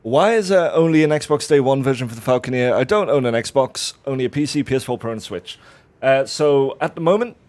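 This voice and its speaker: A man's voice: medium pitch, animated, a little fast, a little mellow. A man hosting a game livestream while playing, chatty and playful. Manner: playful, laughs often. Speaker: stream host.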